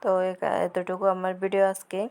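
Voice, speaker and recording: A woman's voice: fairly low pitch, monotone, brisk, darkish tone. A woman vlogger talking viewers casually through her cooking step by step, repeating herself as she explains each action, in a noisy home recording. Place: village cooking spot with wind-blown fire.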